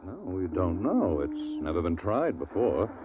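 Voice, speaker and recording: A bookish, fussy physicist who explains things with a hesitant earnestness. His low voice bobs up and down lively. It comes through thin and tinny, with loud music behind, like an old radio drama. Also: measured pace, heavy background noise. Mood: earnest. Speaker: university technician. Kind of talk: 1950s radio drama acting.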